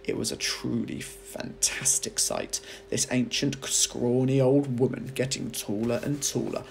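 A man reading a children's story aloud like a teacher, with expressive narration. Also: mid-range voice, measured pace, creaky, a little hiss or hum.

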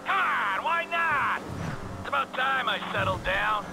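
An actor playing an unhinged, needy, crude middle-aged criminal in a video game, wheedling and theatrical. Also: male voice, extremely high pitch, lively intonation, a little slow, over music, heavily acted, rough recording. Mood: wheedling, eager. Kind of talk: video game character acting.

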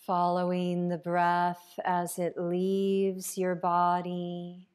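A woman leading a guided meditation as a yoga nidra teacher, calm and unhurried, leaving long pauses between phrases. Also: on the low side, clear, resonant tone.

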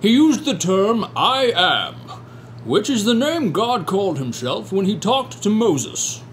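A man's voice: high-pitched, big pitch swings, takes it slowly, slight background noise, exaggerated voice. A man playing an earnest rabbi testifying as a witness in a courtroom radio play. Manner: earnest, explaining.

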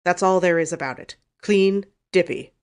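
A woman voicing a blustering, scornful older uncle in a storybook, with a gruff, snorting, emphatic delivery. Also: on the low side, normal speed, natural voice. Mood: angry, blustering. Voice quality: gruff, growling.

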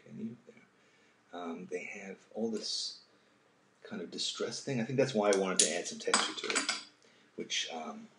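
A man in his sixties hosting a casual live drawing session, speaking haltingly and thoughtfully and often trailing off into a murmur. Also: sits low. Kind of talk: unscripted casual talk while drawing. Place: home kitchen, indoors. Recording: roomy echo, faint background noise.

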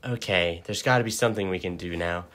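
A man playing a laid-back, overconfident rebel adventurer in an improvised game fandub, with a casual, hesitant, deadpan delivery. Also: low voice, fast. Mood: breezy. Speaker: amateur fan-dub voice actor.

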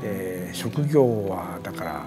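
Halting man, reflective, over music. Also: low voice, expressive. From Japan. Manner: halting, pausing to search for words. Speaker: performer and artist's collaborator. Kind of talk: reflective interview in short phrases.

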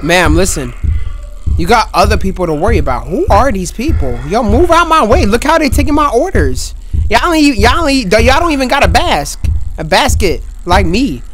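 A young man commentating a horror game in a loud, excitable, trash-talking way, his voice going hoarse, recorded on a headset mic at a home setup. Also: high voice, normal speed, slight background noise. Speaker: gaming streamer.

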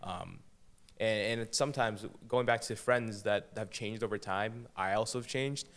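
A young man, a high school senior guesting on a studio podcast, answering questions hesitantly with fillers and restarts. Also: normal speed, a bit bright. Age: young adult. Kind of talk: interview answers. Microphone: boom-arm studio mic.

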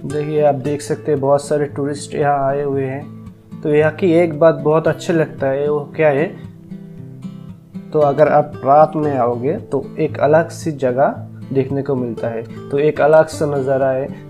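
A man's voice: fairly high pitch, little pitch movement, measured pace, mellow, soft background music. A man giving casual narration for a travel vlog as its host.

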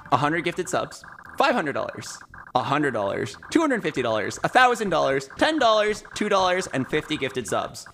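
A man's voice: a little high, a bit bright, a little hiss or hum. A man in his twenties hosting a casual live stream, chatting at his desk in a small room. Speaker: streamer host. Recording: game music under the voice. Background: video game music.

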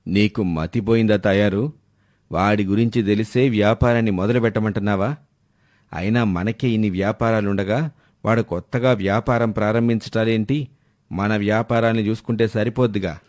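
A man puts on an older merchant father in a storybook tale. He is gruff and quick to anger, with a lowish pitch and a stern, dismissive air. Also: fairly even intonation, darkish tone, no room sound, studio quality, close to an everyday voice. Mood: indignant. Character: gruff, short-tempered older father, wealthy village merchant. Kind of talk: animated moral story dialogue.

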